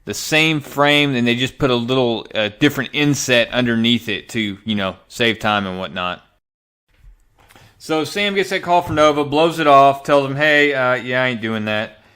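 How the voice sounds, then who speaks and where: A man's voice: medium pitch, bright, forceful tone, smooth. A man giving casual review commentary as a comic book reviewer, in a sarcastic, exasperated manner.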